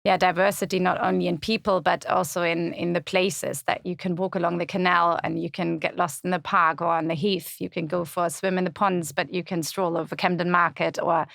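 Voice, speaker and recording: Gravelly-voiced German woman, podcast guest. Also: lowish voice, level tone, a little fast, few pauses, a bit bright, no room sound, clean studio sound. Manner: thoughtful, many fillers and restarts. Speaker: podcast guest, community website founder. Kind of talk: casual interview chat. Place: podcast studio.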